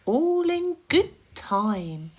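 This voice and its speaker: A woman voicing a lady glowworm in a children's storybook, slow, cool and politely unruffled. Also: sits high, sing-song, very noisy, voice pushed up, full character voice. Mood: cold, unhurried. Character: lady glowworm, unhurried, coolly polite, gently welcoming.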